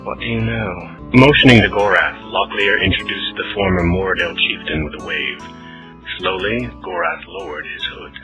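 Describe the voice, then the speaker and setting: A man with a lowish voice narrates a fantasy audio drama. His delivery is measured and dramatic, with music clearly playing behind him. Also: bright, forceful tone, rough recording. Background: orchestral game music.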